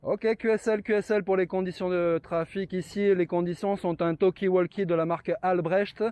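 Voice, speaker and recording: A man's voice: high voice, fairly even intonation, normal speed, darkish tone, clear, resonant tone. A man operating a radio, exchanging call signs and code words and repeating key phrases to confirm them.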